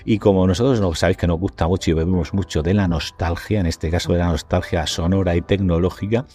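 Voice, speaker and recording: A man's voice: low voice, steady tone, fast, mellow. A man with an accent from Spain hosting a podcast in a wordy, conversational way, playfully addressing his listeners. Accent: Spanish from Spain. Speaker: podcast host.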